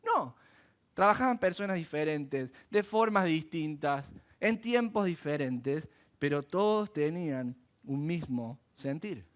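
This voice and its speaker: Male pastor, high voice, animated preaching, rhetorical questions. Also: measured pace. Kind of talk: sermon. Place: church.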